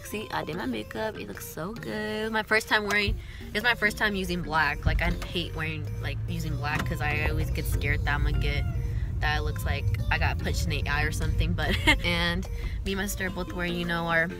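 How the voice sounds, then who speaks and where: A woman's voice: lowish voice, fairly even intonation, brisk. A young woman talks into her phone at arm's length, her voice stuffy and nasal from a cold. She chats loosely and swears often, dropping in the odd Spanish word. Music plays underneath. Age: young adult. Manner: casual and swearing, mixes in Spanish. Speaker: vlogger. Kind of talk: casual vlog chat. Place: home living room, then a car at night. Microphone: phone held at arm's length.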